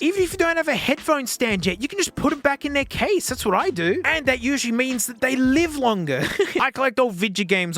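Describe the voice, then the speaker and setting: An Australian man giving a casual, unscripted gadget review in an excitable, jokey, slangy way. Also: extremely high pitch.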